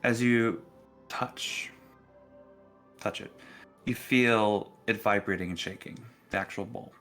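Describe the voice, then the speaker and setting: Guy running a tabletop game, slow dramatic narration, bright voice, faint music behind. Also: fairly low pitch, steady tone. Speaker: game master.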